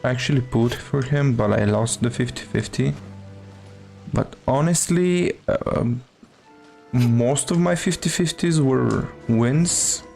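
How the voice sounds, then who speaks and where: A man with a gravelly edge to his voice talks casually on a game stream. He takes his time, hesitant and muttering to himself, with soft music in the background. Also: mid-range voice, slow pace. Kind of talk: casual live stream chat.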